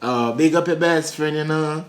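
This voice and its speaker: A man's voice: on the high side, moderate pace. A man from New York hosting a prank video call, talking playfully in a put-on Jamaican patois. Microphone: phone on a video chat app.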